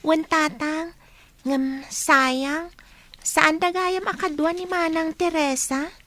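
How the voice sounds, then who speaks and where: A woman's voice: sits high, steady tone, slow pace, fairly bright tone. A woman acting a polite, dutiful young student daughter in an audio drama.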